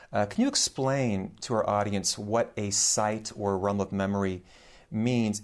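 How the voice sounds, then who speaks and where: Lowish male radio interviewer. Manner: hesitant, many "uh" and "um" fillers and restarts. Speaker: interviewer, English professor. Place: radio studio.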